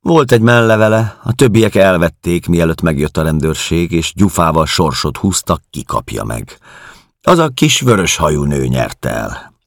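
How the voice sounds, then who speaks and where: A man's voice: sits low, sing-song, creaky, no room sound, clean studio sound, pitched above the performer's own voice, full character voice. A man voicing a chatty, matter-of-fact female caretaker in an audiobook. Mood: gossipy. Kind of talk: audiobook character dialogue.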